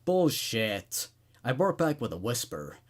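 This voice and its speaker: A man hosting a horror story reading, narrating in an expressive, conversational, laid-back way. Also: medium pitch, moderate pace, breathy edge. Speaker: host reading horror stories aloud.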